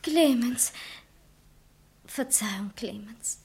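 A woman's voice: on the high side, extremely slow, darkish tone, husky, exaggerated voice. An actress playing an anxious, impulsive society lady in a stage comedy, speaking with urgent, dramatic exclamations. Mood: pleading. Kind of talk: theatrical stage comedy dialogue.

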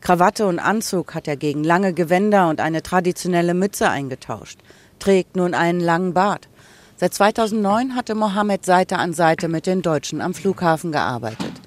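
A reporter calmly narrating a documentary news piece. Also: mid-range voice, moderate pace.

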